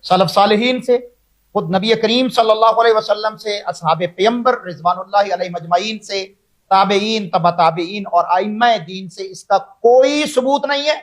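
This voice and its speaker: A man, an Islamic cleric, preaching in a forceful, declamatory sermon style. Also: high voice, normal speed. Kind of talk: religious sermon.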